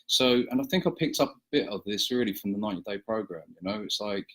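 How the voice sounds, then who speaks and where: A man as a guest on a casual interview, talking in a hesitant way with frequent pauses. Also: on the low side, animated, husky. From England. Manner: hesitant, many fillers and restarts. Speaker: guest, small business owner.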